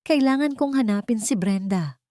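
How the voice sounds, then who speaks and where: A woman's voice: fairly high pitch, lively intonation, normal speed, mellow, no echo, clean studio sound, pitched above the performer's own voice, natural voice. A woman voicing a remorseful widowed father, earnest and regretful. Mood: determined.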